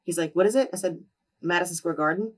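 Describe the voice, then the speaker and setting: Woman in her 30s, quick chatty storyteller, mid-height voice, mic a little distant. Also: on the low side. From New Jersey. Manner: lively storyteller who acts out quoted dialogue. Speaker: interview guest. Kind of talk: casual storytelling in a sit-down interview.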